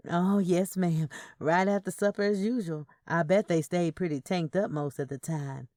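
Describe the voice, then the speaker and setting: A woman's voice: on the low side, steady tone, moderate pace, mellow, no echo, clean studio sound, natural voice. A woman voicing a curious, sassy young Southern niece in a story, questioning and emphatic.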